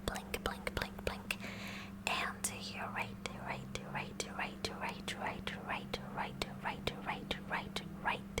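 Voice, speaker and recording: A woman voicing a kooky, curious high school science club president in a slow, repetitive, instructing ASMR roleplay. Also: close to an everyday voice. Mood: focused, instructing.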